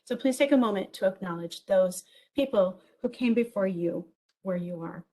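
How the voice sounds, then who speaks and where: A woman with a big voice giving an enthusiastic virtual conference keynote, lively and peppered with ums and small jokes. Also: mid-range voice, normal speed. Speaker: keynote speaker.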